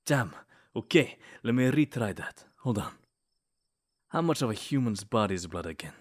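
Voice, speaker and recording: A man's voice: medium pitch, very animated, moderate pace. Man acting as a snide, possessive vampire suitor. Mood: flustered.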